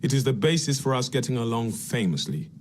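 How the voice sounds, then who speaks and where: An actor playing an urbane, dryly sardonic senior Templar officer in a video game, speaking formally. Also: male voice, mid-range voice, sing-song, fairly quick, a little hiss or hum, natural voice. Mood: dryly welcoming. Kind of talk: scripted video game dialogue.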